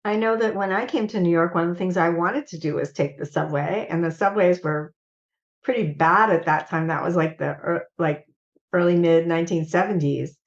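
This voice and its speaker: Older lady on a webcam call, lively storyteller, muffled lo-fi audio. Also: on the low side, normal speed. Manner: animated storyteller, acts out quotes. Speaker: guest, clothing entrepreneur. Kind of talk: casual storytelling. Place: home office, indoors. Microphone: video call webcam. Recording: dull lo-fi video call audio.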